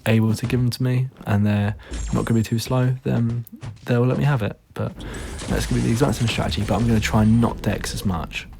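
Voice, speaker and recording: A man with a hoarse, fading voice, giving tired, laid-back gameplay commentary full of ums. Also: medium pitch, steady tone, brisk, few pauses, dark tone. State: hoarse, losing his voice; tired, yawning late at night. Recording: noisy recording with clicks.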